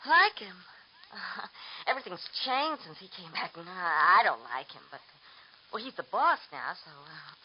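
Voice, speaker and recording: A woman's voice: on the low side, sing-song, normal speed. A teasing tipsy nightclub woman. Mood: wheedling. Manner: teasing, wheedling, giggly. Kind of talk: 1940s radio acting. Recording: dull old radio transcription with faint hiss.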